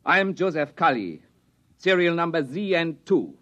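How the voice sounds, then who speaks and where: An actor playing a grave, restrained captive enemy soldier, a doomed lover, in a 1950s radio drama. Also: male voice, a little high, sing-song, normal speed, a bit bright. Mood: stiff recitation. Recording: dull lo-fi 1950s radio recording.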